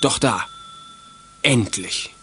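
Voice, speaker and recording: Ein Mann erzählt als Sprecher ein Kinderhörspiel vom Manuskript, mit belegter Stimme. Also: male voice, medium pitch, drawn-out words, bright, forceful tone, slight background noise. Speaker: narrator. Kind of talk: scripted children's radio play narration.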